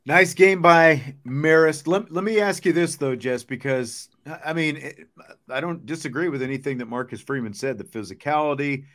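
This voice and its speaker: A man hosting casual sports talk, chatty, phrasing his questions in a halting way. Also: a little high, fairly bright tone. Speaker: sports podcast host.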